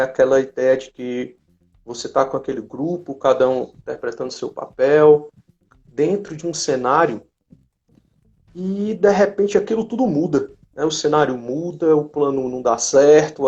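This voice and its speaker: A man's voice: medium pitch, darkish tone. A man with a Brazilian accent talking casually as he hosts a live online chat. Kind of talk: casual conversation. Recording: dull lo-fi live-stream audio.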